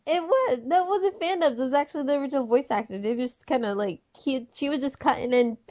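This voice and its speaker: A woman's voice: sits high, fast, darkish tone. A woman in her twenties chatting casually, rambling through stories and giggling often. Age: 20s. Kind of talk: casual chat.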